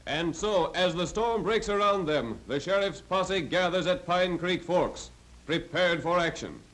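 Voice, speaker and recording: An actor playing a theatrical 1930s radio serial narrator, stately and drawn-out, on an old soundtrack. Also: male voice, high-pitched, noisy background. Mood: dramatic. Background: loud music.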